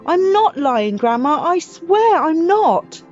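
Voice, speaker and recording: Woman as an earnest, high-voiced young boy. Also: big pitch swings, slow, darkish tone, dull audio, faint music behind, full character voice. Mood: insistent, earnest. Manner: pleading, protesting.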